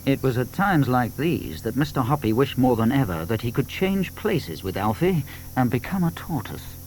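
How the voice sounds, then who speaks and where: A man narrating a children's story in an expressive, dramatic storytelling manner. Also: medium pitch, moderate pace, slight background noise, low-quality recording.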